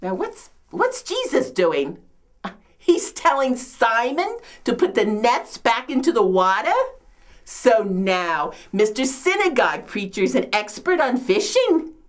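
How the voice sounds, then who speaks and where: A woman's voice: on the high side, big pitch swings, takes it slowly. A woman playing a complaining, martyred old mother-in-law from biblical times in a comedic monologue, with a New York accent and theatrical delivery. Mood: excited kvetching. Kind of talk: comedic dramatic monologue, performed.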